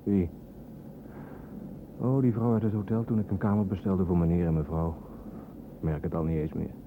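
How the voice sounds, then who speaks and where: A muffled, noisy old radio play recording of a cynical man on the run. His low voice is sardonic and wordy, with a resentful edge. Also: fairly even intonation, normal speed. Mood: defensive. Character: cynical army deserter on the run, resentful son.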